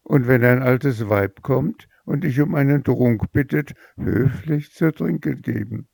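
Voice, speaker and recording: Male narrator voicing a fairy-tale mother: high, shrill, greedy, scolding, gravelly underneath. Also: a little slow, dry recording, studio quality. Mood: astonished eager. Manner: shrieking, excitable.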